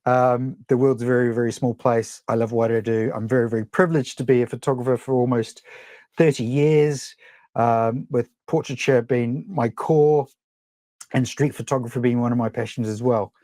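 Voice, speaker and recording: A man's voice: mid-range voice, steady tone, moderate pace, warm tone, no echo. Chatty New Zealand man, dry sound. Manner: chatty, rambling, frequent ums and restarts. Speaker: webinar presenter, photographer. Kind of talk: casual live webinar teaching.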